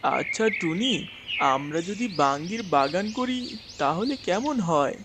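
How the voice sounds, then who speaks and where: A man's voice: high voice, animated, takes it slowly, noisy background. A man voicing a practical, enterprising little male bird in a children's cartoon, in a friendly manner. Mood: curious, practical.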